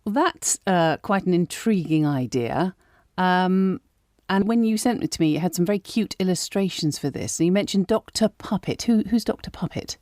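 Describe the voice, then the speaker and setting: A woman's voice: fairly low pitch, lively intonation, moderate pace, darkish tone, no room sound, studio quality. A woman hosting an interview podcast in a conversational style.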